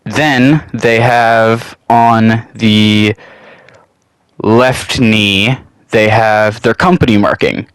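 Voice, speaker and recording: Low-voiced man with a cold. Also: fairly even intonation, very slow, smooth, dry recording. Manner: rambling, casual, some swearing. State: has a cold, sniffly. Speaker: channel host. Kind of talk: casual hobby talk, loosely scripted.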